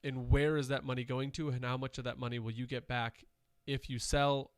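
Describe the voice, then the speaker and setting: Guy cohosting a podcast, kinda nasal, rambly, smooth voice, dry room. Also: mid-range voice, a little fast, dry recording. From Wisconsin. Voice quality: nasal from dust irritation. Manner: relaxed, rambling with fillers. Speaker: podcast co-host. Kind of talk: casual chat.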